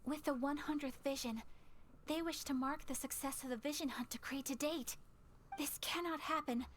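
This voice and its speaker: An actress playing a poised, earnest young noblewoman in a video game, speaking formally and gravely. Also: high-pitched, fairly even intonation, normal speed, slight background noise, natural voice. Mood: resolute. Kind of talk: English video game voice acting.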